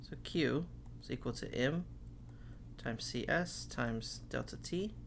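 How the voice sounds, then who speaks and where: A man's voice: mid-range voice, slow, gravelly, slight background noise. A man teaching chemistry, working through problems step by step and saying okay often, while losing his voice. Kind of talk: recorded classroom lecture.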